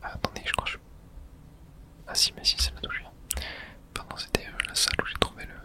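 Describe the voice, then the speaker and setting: A person whispering casual, hushed ASMR commentary while playing a video game, breathy and thinking aloud. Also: fast talker.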